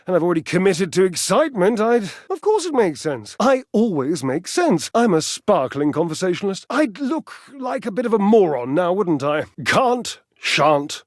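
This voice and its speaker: An actor playing a vain, athletic talking appliance in a dating game, pompous and petulant, with flamboyant, condescending, wordy delivery. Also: male voice, high-pitched, sing-song, normal speed, hardly any pauses, no echo, studio quality. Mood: haughty teasing. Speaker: video game character actor. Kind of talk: theatrical dating-game character acting.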